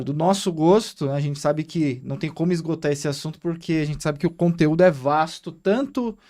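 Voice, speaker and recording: Brazilian male co-host, high voice, animated and explanatory, casual podcast. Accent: Brazilian Portuguese. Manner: explanatory, animated, asks listeners to agree.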